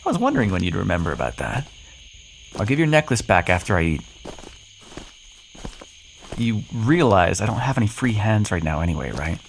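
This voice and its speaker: Man acting as a cocky, flirtatious, lively-voiced vampire. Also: fairly low pitch, brisk, creaky, long pauses, a little hiss or hum, rough recording. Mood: exasperated. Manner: teasing, sarcastic, with pet names and swearing. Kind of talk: ASMR roleplay acting addressed to the listener.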